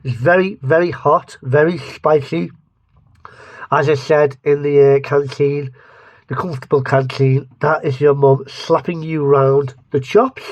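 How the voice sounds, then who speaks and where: A man's voice: fairly high pitch, darkish tone, dry recording. A man with a lisp giving a rambling, joking taste-test review, often restarting his sentences. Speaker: vlogger reviewing mustards. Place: home studio.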